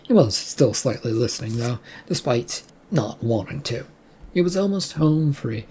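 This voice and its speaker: A narrator of unclear sex reading a scripted story aloud in a husky, creaky voice. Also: mid-range voice, expressive, a little slow, dark tone, a little hiss or hum.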